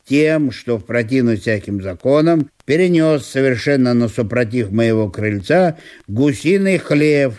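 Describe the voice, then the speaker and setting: Self-important aggrieved landowner played by a male actor, florid and solemn, mid-pitched warm voice, radio drama. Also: close to an everyday voice. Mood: aggrieved, indignant. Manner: pompous, florid officialese, solemnly indignant. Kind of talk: theatrical audio-play monologue.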